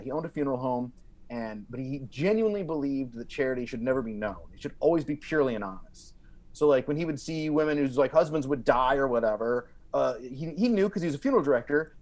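A man telling comedic stories as a podcast guest, casual and animated, acting out quotes as he goes. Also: mid-range voice. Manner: animated, acts out quotes with frequent like and you know.

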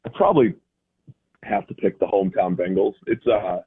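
Husky American man on a phone line. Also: sits low, steady tone, moderate pace. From Boston area, United States. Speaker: football analyst, radio guest. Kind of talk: conversational sports analysis. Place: remote call into a radio show. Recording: thin phone-like guest line.

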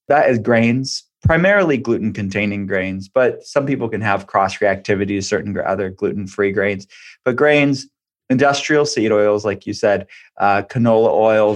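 A man speaking as an expert guest in an interview, explaining point by point and repeating phrases for emphasis. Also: on the low side. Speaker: guest expert, functional medicine practitioner.

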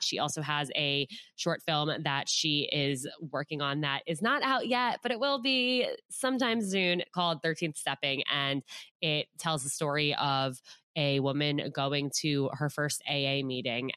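A woman's voice: low voice, a bit bright, clear, resonant tone, no echo. A woman in her late twenties, possibly, hosting a podcast in a casual, upbeat way with many fillers. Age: 20s. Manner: chatty, upbeat, lots of fillers like "like" and "you know". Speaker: podcast host. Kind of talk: casual solo podcast intro.

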